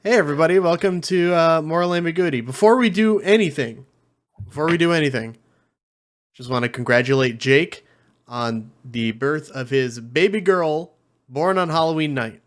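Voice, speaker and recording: A man's voice: a little high, normal speed. A man getting over a cold, hosting a tabletop game podcast with a casual, joking manner. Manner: joking, laughs easily. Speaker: podcast host and player. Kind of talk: casual chat.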